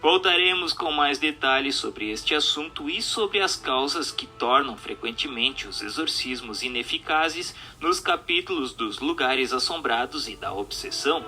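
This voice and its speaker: A Brazilian man reading a book aloud as scripted narration, in a formal, measured and emphatic way. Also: mid-range voice, expressive, pushed and bright. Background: music.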